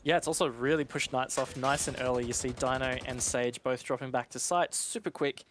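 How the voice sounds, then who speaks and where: Male esports analyst, mid pitch, gunfire behind. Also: a little fast, a bit bright, slight background noise. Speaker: esports match analyst and commentator. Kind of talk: live analytical commentary, conversational. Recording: game gunfire and clicks behind voice. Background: game sound with gunshots.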